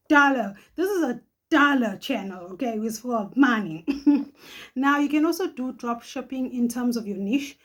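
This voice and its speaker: A middle-aged woman from Johannesburg with a South African accent, hosting a casual advice vlog, chatty and quick to laugh, often tagging her sentences with "okay?". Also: a little high, expressive. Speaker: channel host.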